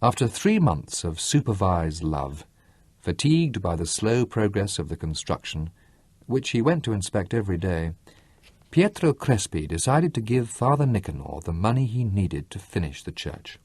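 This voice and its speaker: Deep, husky male storyteller. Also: sing-song, normal speed, darkish tone, no room sound. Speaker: audiobook narrator. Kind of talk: scripted literary story narration.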